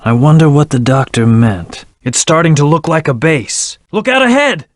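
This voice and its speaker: Guy doing a serious moody hedgehog antihero for a video game, terse n commanding, pitch moves a lot, super dry recording. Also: lively intonation, moderate pace, no room sound, close to an everyday voice. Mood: firm, intense, then urgent, commanding. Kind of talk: video game character voice lines.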